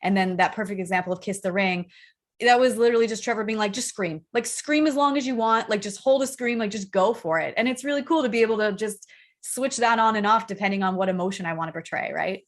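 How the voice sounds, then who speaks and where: Female rock singer as interview guest: soft, girly voice, informal and candid, conversational delivery. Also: medium pitch, fast talker. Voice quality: soft, girlish feminine voice.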